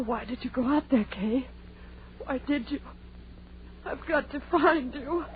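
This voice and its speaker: A woman's voice: mid-range voice, natural voice. An actress playing a grief-mad young woman lost in a storm, with theatrical, wailing, repetitive delivery in old-time radio horror style. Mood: despairing, weary. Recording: tinny, noisy vintage radio recording.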